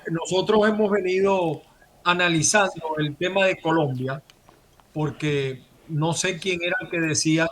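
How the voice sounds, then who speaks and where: High-voiced man from Venezuela hosting. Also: fairly bright tone, a little hiss or hum, rough recording. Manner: formal, broadcast-style greetings and questions. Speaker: host. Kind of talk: talk show hosting.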